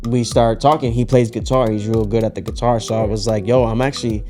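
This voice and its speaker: A man's voice: lowish voice, brisk. A man producing music, chatting casually about making a song, rambling with fillers and slang. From Puerto Rican heritage. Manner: relaxed, rambling, lots of fillers and "bro". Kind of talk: casual chat.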